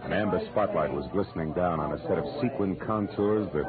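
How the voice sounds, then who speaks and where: A male actor with an American accent playing a wry, deadpan private detective in a 1940s radio noir drama. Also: low-pitched, fairly quick, lightly acted. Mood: wry, matter-of-fact. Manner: deadpan, wisecracking. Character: wry, hard-boiled male private detective narrating his case. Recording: muffled old radio recording, music behind.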